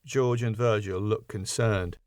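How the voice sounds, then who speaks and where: A man's voice: lowish voice, fairly even intonation, unhurried, darkish tone, no echo, clean studio sound. A man reading out stage directions from a sitcom script as scripted narration.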